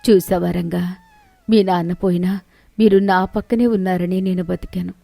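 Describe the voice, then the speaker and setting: A woman's voice: lowish voice, steady tone, normal speed, gravelly, dark tone, dry recording. A woman voicing a sorrowful old widowed village mother in a moral tale, lamenting plaintively to her son. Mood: sorrowful, hurt.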